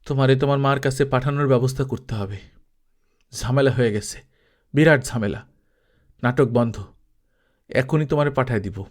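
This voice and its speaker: A man's voice: medium pitch, normal speed, long pauses, lightly acted. A man voicing a sick, worried village man in a story reading, with a tired, muttering, colloquial delivery. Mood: weary, anxious.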